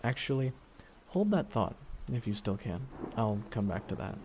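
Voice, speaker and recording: A man acting a weary, regretful supervillain in an ASMR audio roleplay, speaking slowly and reflectively with pauses. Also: fairly low pitch, big pitch swings, creaky, a little mellow, a little hiss or hum. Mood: grave, gentle. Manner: slow, reflective, pausing delivery. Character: weary, regretful male supervillain beside his dying nemesis.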